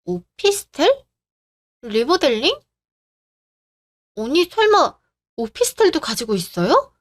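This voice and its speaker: A woman plays a friendly young sister-in-law in a radio drama. She is polite and eager. Her voice sits slightly high. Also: big pitch swings, slow pace, no echo, studio quality, full character voice.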